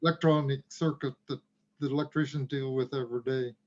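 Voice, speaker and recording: Man on a muffled video call, middle pitch, earnest, self-deprecating. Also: steady tone, a little fast. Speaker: webinar participant. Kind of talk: casual comment.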